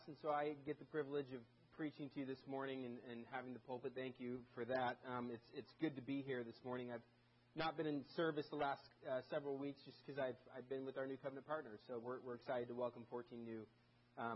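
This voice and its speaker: A man's voice: medium pitch, fairly even intonation, fast, highs cut off, slight background noise. A man who grew up in Germany, a guest preacher giving a casual, conversational sermon full of stories and self-deprecating asides. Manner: chatty, self-deprecating, many restarts and fillers.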